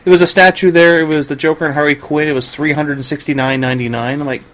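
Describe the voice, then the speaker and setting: A man's voice: fairly high pitch, steady tone, fast talker, fairly bright tone. A man talking casually and informally in a wry, incredulous way. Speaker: podcast participant and collector. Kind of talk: casual chat.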